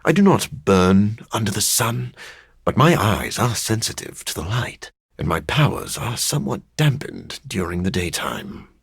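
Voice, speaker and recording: A man playing a brooding, cerebral vampire scientist in a video game, with a husky voice and a dry, formal, measured way of speaking. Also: lowish voice, big pitch swings, no echo, heavily acted. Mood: measured, scholarly.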